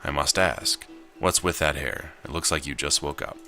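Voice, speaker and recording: A man's voice: a bass voice, moderate pace, pushed and bright, soft background music, exaggerated voice. Man putting on a stern, nagging landlady. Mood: scolding. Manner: nagging, lecturing. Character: stern, scolding middle-aged landlady, large woman. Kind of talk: visual novel read aloud.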